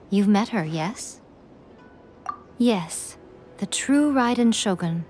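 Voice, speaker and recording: A woman's voice: mid-range voice, darkish tone. A woman playing a sly, worldly shrine priestess in a video game, teasing and self-assured with a knowing air. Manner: teasing, knowing, unhurried condescension. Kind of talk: video game cutscene acting.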